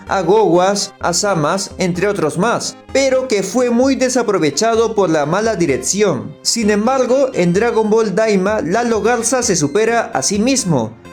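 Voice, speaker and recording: Male video critic, high voice, scripted opinionated review over faint music. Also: a little mellow.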